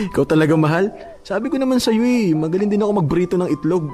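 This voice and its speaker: A man's voice: high-pitched, a little fast, darkish tone, soft background music, close to an everyday voice. A man playing a devoted, affectionate young husband in a Filipino radio drama, speaking reassuringly. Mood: gentle, caring.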